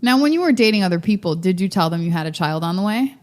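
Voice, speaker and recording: A woman's voice: on the low side, animated, quick pace. A woman hosting a relationship podcast, asking probing questions in an easy conversational way. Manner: probing, conversational, laughs easily. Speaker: host. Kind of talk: casual interview.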